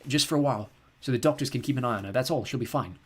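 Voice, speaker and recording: A quiet, worn-down father, read by a male narrator in near his own voice. He sounds flat and reassuring, with a husky edge. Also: medium pitch, racing, lightly acted. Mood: subdued.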